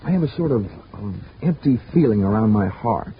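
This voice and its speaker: Male actor as a wisecracking investigator who flirts his way through a 1940s radio play; animated, muffled broadcast sound. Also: on the low side, fairly quick, slight background noise, lightly acted. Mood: flirty. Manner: wry, teasing, smooth-talking. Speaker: insurance investigator. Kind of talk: 1940s radio drama acting and narration.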